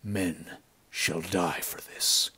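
A man voicing a grim but gentle wandering swordsman in a storybook, murmuring softly. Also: takes it slowly, husky, heavily acted. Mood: grim, cold. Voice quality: soft, low murmur.

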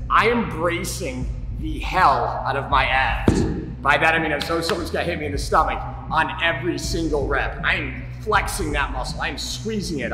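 A man in his thirties with a slight chest cold, giving emphatic, informal fitness instruction to camera as a coach. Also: on the high side. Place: roomy gym, indoors. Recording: echoey gym room.

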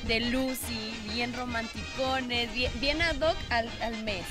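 A woman co-hosting a TV show outdoors on a cold day, excited and bubbly. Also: a little high, takes it slowly. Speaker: co-host. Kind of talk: TV co-hosting chat. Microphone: handheld mic. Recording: music playing behind.

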